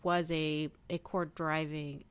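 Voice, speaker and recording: A woman's voice: deep voice, level tone, takes it slowly, clear, resonant tone. A woman chatting casually and emphatically as a panelist in a fan discussion podcast.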